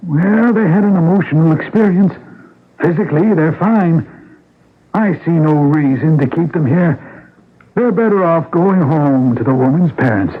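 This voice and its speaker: Man acting a high-voiced doctor, tinny radio. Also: lively intonation, normal speed, lightly acted. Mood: measured. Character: calm, matter-of-fact male hospital doctor. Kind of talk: 1980s radio drama acting. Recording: tinny, very noisy old radio broadcast.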